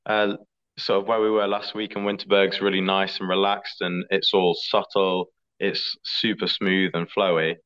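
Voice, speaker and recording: A young man, an athlete answering interview questions in a relaxed, chatty way. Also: low-pitched, normal speed, clean tone. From England. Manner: relaxed, chatty, fillers like "like" and "sort of". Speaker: skeleton athlete. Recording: slightly dull lo-fi audio.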